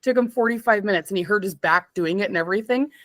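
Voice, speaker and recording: A woman's voice: mid-range voice, fast talker, a bit bright, no echo. Chatty woman, dry close mic. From Canada. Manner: chatty, rambling, laughs often, lots of "like". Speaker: interview guest, stone fabricator. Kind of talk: casual chat.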